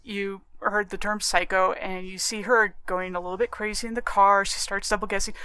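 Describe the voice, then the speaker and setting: A woman co-hosting a podcast, talking casually in a measured, analytical way. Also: mid-range voice, fairly even intonation, sharp tone, clear, resonant tone, no room sound. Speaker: podcast co-host.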